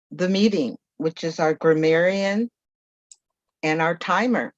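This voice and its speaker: Slow, formal meeting speaker. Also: medium pitch, no room sound, dull audio. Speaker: general evaluator.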